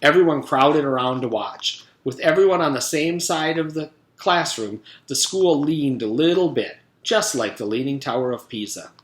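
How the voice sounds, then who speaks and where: A man's voice: medium pitch, normal speed, few pauses, reverberant. A man reading a children's storybook aloud like a teacher, expressively and speaking directly to young listeners.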